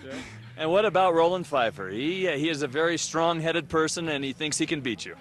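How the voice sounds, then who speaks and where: A man at an outdoor ski race finish, firing off upbeat questions to the racers. He talks quickly and sits slightly high in pitch. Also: expressive, fairly bright tone, a little hiss or hum. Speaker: sideline interviewer. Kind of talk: post-race interview questions.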